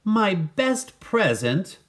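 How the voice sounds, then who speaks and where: Man voicing an earnest boy, very lively pitch. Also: drawn-out words, exaggerated voice. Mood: wondering. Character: earnest, wondering young boy in a storybook. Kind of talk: storybook character voice.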